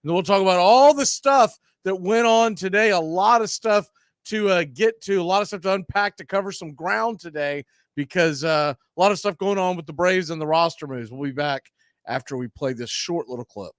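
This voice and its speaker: High clear-voiced guy hosting sports talk, upbeat and loose, dry close mic. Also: moderate pace, no room sound. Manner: upbeat, loose, talks off the cuff with fillers. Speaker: radio show host. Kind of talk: casual sports talk show.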